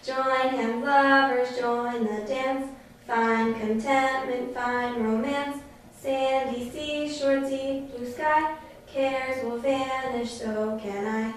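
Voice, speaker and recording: An obedient airy spirit, played by a woman serves a sorcerer in a stage play. The voice is highish and formal, recorded at a distance in a very echoey room with some noise. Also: flat delivery, takes it slowly. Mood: dreamy chanting. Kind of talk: theatrical verse and dialogue.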